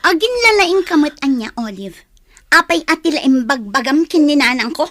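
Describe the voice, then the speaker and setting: High-pitched, defiant young student. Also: female voice, lively intonation, normal speed, a bit bright, dry recording. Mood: angry, indignant. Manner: indignant, confrontational. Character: angry, defiant young female student, sharp-tongued with friend. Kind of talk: Ilocano radio drama acting.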